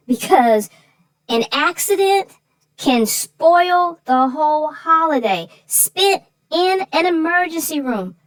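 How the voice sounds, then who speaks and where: A woman's voice: high voice, rough recording. A woman co-host talking over a children's story and giving advice, earnest and repeating words for emphasis. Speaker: co-host of a children's read-aloud show. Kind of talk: conversational story discussion and safety advice.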